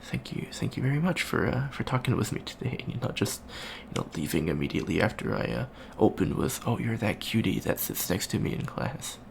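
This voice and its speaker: Man as awkward college alien, low and creaky. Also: sing-song, fairly quick, few pauses, heavily acted. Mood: awkward, friendly. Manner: hesitant, stammering, rambling. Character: shy, awkward young avian alien college student, friendly. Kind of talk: ASMR roleplay. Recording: faint background noise.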